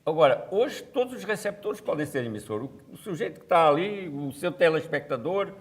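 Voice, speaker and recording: A man's voice: on the high side, animated, brisk. A man with a Brazilian accent, a professor on a panel, speaking in an emphatic, didactic way. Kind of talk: panel discussion.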